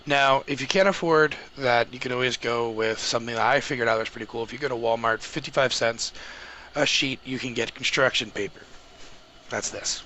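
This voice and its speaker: A man talking viewers through a software setup step by step in an informal, explanatory way. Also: medium pitch, a little fast. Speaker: host of a tech tutorial. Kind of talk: casual step-by-step screen tutorial. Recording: clearly noisy home microphone recording.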